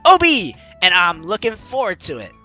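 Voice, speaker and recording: A man's voice: high voice, huge pitch swings, normal speed, pushed and bright. A man hosting a gaming video, reading game narration aloud and chatting casually with playful asides. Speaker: gaming video host, let's player. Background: game music.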